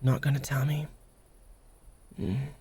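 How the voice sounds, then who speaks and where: A woman playing a prickly, vulnerable, secretly tender young wolfgirl in an intimate ASMR roleplay, speaking haltingly and often dropping into whispers. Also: rumbling low, slightly rough, exaggerated voice. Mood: vulnerable, grateful. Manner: halting, guarded, softly emotional.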